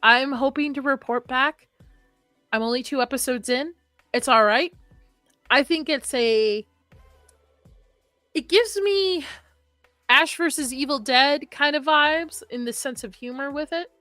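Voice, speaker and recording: A woman's voice: sits high, a little slow, pauses often, pushed and bright, no room sound, faint music behind. A young adult woman hosting a horror livestream, chatting casually and reading comments, rambling and laughing often; her first language was Arabic.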